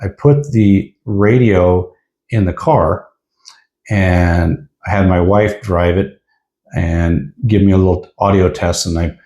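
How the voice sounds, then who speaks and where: A man with a very low, relaxed voice talks through a piece of gear as a reviewer. His delivery is casual and explanatory, like an informal hands-on demonstration. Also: takes it slowly, dull audio. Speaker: product reviewer.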